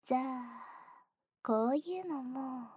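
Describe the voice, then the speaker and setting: A teasing young woman, a junior to the listener, as an actress plays her, talks slowly and breathily and often drops to a close whisper. She giggles between lines. The sound is dry and intimate, with no room around her. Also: high voice, darkish tone, husky, dry recording, full character voice. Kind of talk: whispered ASMR roleplay.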